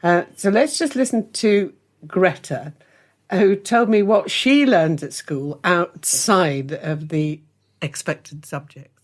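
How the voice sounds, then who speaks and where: A woman hosts an interview, her voice sitting a little low. She takes her time, asking questions at an unhurried pace. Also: animated. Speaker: host. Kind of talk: radio-style interview.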